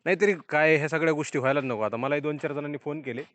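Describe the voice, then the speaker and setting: A man's voice: a little high, racing, a bit bright, dry recording. A man working as a journalist, interviewing conversationally over the phone with frequent short acknowledgements. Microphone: phone call. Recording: muffled lo-fi phone call recording.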